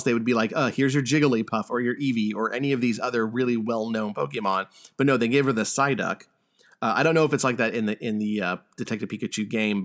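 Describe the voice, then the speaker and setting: A man with a medium-pitched voice talks casually as a podcast host. His delivery is halting, with stammered starts as he works through his thoughts in conversation. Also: a bit bright. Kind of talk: casual movie discussion.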